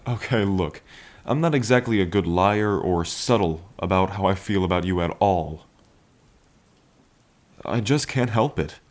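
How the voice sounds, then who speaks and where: A man's voice: low-pitched, a little slow. A man playing a playful, lovestruck dragon king in an intimate ASMR roleplay, with a languid, teasing and tender manner. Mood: tender, smitten. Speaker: roleplay voice performer. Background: rain.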